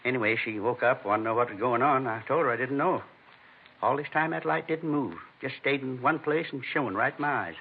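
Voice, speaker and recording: An actor playing a shaken, indignant man of fifty-two in a vintage radio drama, with an American accent and halting, earnest, plain speech. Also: mid-range voice, husky. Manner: halting, earnest, plain-spoken, repeats himself. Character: shaken, indignant fifty-two-year-old husband, a robbery victim. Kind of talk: 1950s radio acting. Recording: muffled, noisy old radio recording.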